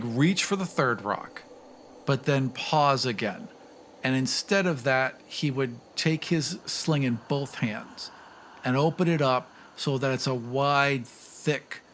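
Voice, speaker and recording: A man's voice: mid-range voice, fairly bright tone. Male tabletop player thinking aloud, faint noise. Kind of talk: casual, improvised description of play.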